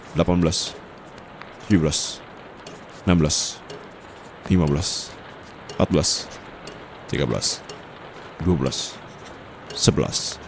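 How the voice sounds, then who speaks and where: A man voicing a young private tutor whose timid front hides a dominant streak, speaking softly and slowly right up against the mic. His voice sits extremely low with a gravelly fry. A steady hiss of noise and passing traffic runs underneath. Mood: counting down. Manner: soft-spoken, teasing, slow and close. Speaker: voice actor. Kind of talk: ASMR roleplay. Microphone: close condenser mic.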